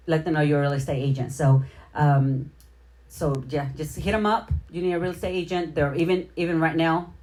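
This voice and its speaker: A middle-aged woman with a low voice hosts a casual livestream. She talks straight to her viewers in a chatty, slangy way, as in an informal round of shout-outs. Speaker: livestream host.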